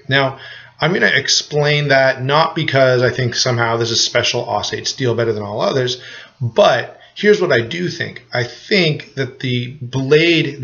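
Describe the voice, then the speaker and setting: A man's voice: mid-range voice, a bit bright, lo-fi sound. Easygoing man with a cold. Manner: easygoing, rambling with frequent restarts and "uh" fillers. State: has a cold. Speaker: knife reviewer. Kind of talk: casual product review to camera.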